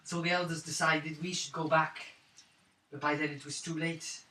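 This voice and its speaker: A man voicing a shaken, wounded young woman of a wandering clan in a tabletop roleplaying game, speaking haltingly and wearily. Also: on the high side, flat delivery, bright, forceful tone. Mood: grave, shaken. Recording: roomy, hissy tabletop recording, distant mic.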